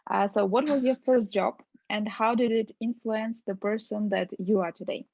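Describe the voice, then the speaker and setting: A woman's voice: mid-range voice, fairly even intonation, normal speed, a little mellow, slight background noise. A woman hosting a podcast, asking interview questions with short, encouraging replies over muffled remote audio. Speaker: podcast host.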